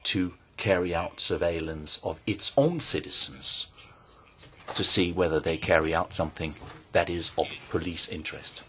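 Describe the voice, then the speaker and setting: A man giving measured, formal testimony to a committee, pausing often and hesitating between phrases. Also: low-pitched, a bit bright, a little hiss or hum. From Norway. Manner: measured, deliberate, with frequent pauses and hesitations. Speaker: invited speaker at a parliamentary hearing.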